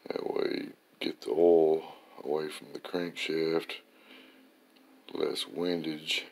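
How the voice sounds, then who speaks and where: A man's voice: a bass voice, level tone, takes it slowly, gravelly, darkish tone. A man giving a casual hands-on garage walkthrough as an amateur engine builder, plain-spoken and folksy with plenty of swearing. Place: indoor garage or workshop with concrete floor.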